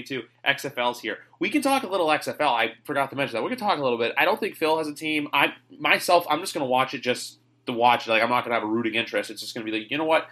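A man's voice: medium pitch, pushed and bright. A man hosting a sports podcast in casual chat, rambling and opinionated. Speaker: podcast host.

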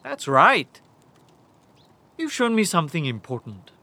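Slow, high-pitched, menacing warlord. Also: male voice, huge pitch swings, sharp tone, heavily acted. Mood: suspicious, tense. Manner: theatrical, mocking, deliberate. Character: paranoid, menacing bandit warlord, self-styled khan. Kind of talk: audio drama acting.

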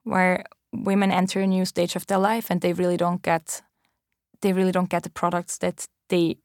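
A woman's voice: lowish voice, level tone, moderate pace, a little mellow, no echo, studio quality. A woman in her late twenties with a German accent, a company founder answering interview questions earnestly, with frequent ums and restarts. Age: 20s.

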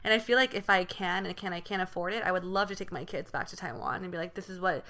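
Fast-talking young woman, casual chat. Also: fairly low pitch, pushed and bright. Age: young adult. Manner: frequent "like" and "you know". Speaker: podcast co-host. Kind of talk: casual chat among friends.

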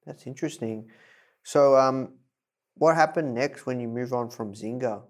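A man hosting a business podcast, energetic in his intro and hesitant with many fillers when asking questions. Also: medium pitch, unhurried. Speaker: podcast host.

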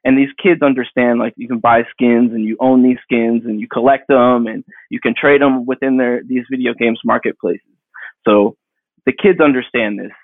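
A man in his thirties, a digital artist, answering interview questions in a rambling, halting way full of fillers and restarts. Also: mid-range voice, dry recording. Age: 30s. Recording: dry tinny phone-like line.

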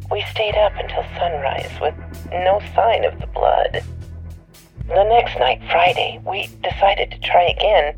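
A woman's voice: fairly low pitch, creaky. An actress playing a reluctant, anonymous woman caller, hesitantly telling her story in a conversational monologue. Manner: hesitant, self-correcting. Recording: dull lo-fi tipline recording. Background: faint music.

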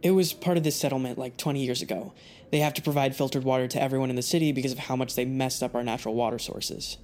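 A man playing a friendly, eager, smitten high school senior boy in an audio drama, upbeat and earnest. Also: steady tone, quick pace, a little mellow, natural voice.

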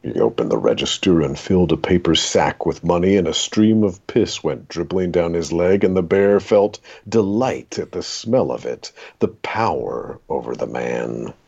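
A man with a gravelly, husky voice reading a literary short story aloud in a dramatic, deliberate way. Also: low voice, expressive, darkish tone, muffled recording.

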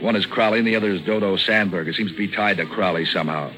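Low, deadpan hard-boiled sleuth. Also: male voice, fast, poor audio. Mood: pressing. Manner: deadpan, wry, slangy. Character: cynical, wisecracking hard-boiled male private troubleshooter, narrating. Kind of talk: 1940s radio acting and noir narration. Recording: vintage 1940s radio recording.

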